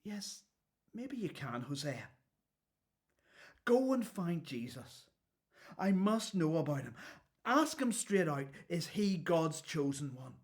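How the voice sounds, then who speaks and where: An actor playing an imprisoned prophet in a radio play, weary, testy and full of doubt, with a brooding delivery. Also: male voice, on the high side, very animated, slow pace, pauses often, exaggerated voice. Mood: anguished doubt.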